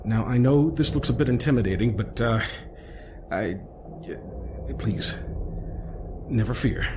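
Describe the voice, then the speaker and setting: A man's voice: medium pitch, unhurried, very noisy, natural voice. A man playing an eccentric, well-travelled inventor and rescuer in an audio drama, talkative and fussy, with a hesitant, rambling, politely wordy manner. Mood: earnest, gentle.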